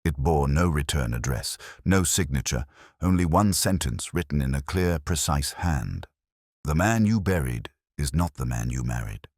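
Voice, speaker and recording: A composed Victorian widow acted out by a male storybook reader, severe and direct. The voice stays low for a lady, with formal phrasing and lively intonation in a dry recording. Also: normal speed, dry recording, full character voice. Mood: grave, direct.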